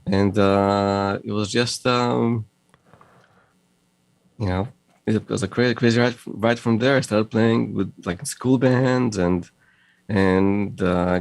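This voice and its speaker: A middle-aged man from Moldova with a mixed Russian and Israeli accent, talking haltingly in a casual interview, with many fillers and restarts. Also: lowish voice, fairly even intonation, vocal fry, dry recording. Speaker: guest, audio company founder.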